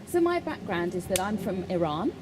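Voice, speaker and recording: A woman voicing a signed personal statement as an interpreter, in halting phrases with pauses. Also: big pitch swings. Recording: clear background noise, ambient rumble.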